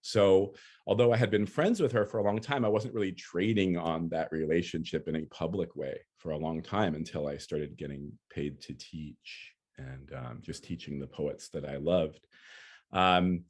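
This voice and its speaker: A man speaking as a guest lecturer in an informal, reflective and conversational way, often halting as he gathers his thoughts. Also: very deep voice, darkish tone. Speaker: guest speaker, poet and teacher.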